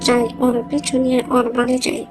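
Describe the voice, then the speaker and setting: A woman plays a greedy cartoon ghost woman with a high, fairly even voice. She is sly and scheming, muttering plans to herself over clearly audible music. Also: a little fast, natural voice. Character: sly greedy female ghost craving fish. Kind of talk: cartoon voice acting. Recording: music playing behind the voice.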